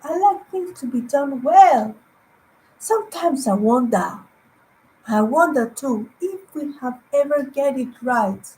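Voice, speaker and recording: A woman evangelist whose first language is Greek, preaching online in a halting way, repeating words and humming between thoughts. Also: sits high, expressive, warm tone, slight background noise, rough recording. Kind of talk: online sermon with anecdotes.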